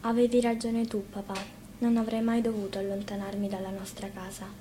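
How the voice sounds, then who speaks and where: A woman's voice: medium pitch, completely flat delivery, a little fast, dark tone, natural voice. A woman voicing an earnest, pleading little boy in a storybook reading, with faint noise behind. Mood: earnest confession. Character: small lost boy, earnest, long away from home. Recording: faint noise, live radio broadcast.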